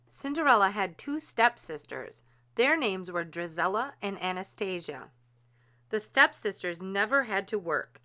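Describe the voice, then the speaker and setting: A woman narrates a children's story with deliberate, expressive phrasing. Her voice is bright and slightly low, with a very lively melody and an unhurried pace. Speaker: storybook reader.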